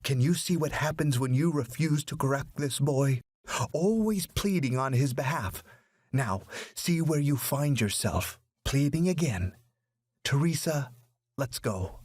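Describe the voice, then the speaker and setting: A harsh storybook father, acted by a male reader. He scolds in furious, scornful bursts, in a husky voice recorded very dry. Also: mid-range voice, animated, unhurried, a little mellow, no room sound, voice pushed up. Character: furious, shamed, harsh father disowning his son.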